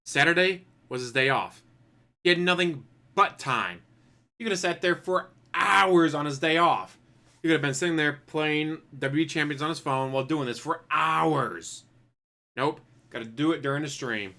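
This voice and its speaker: A man's voice: a little high, moderate pace, pushed and bright. A man commenting sarcastically over a streamer's clip.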